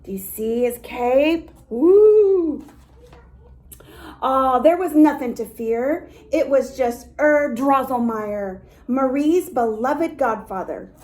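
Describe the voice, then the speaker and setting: Woman doing storytime for kids, soft mellow tone, takes it slow, expressive reading. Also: high-pitched. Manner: expressive, childlike asides to listeners. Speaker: storytime reader for a dance academy. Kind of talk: read-aloud storytelling for young children.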